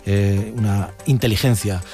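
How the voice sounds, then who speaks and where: A man with a Spain Spanish accent presenting a live radio program, moving briskly from topic to topic in a conversational tone. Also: fairly low pitch, steady tone, mellow, faint music behind. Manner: brisk, conversational, introduces segments. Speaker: radio host. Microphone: studio microphone.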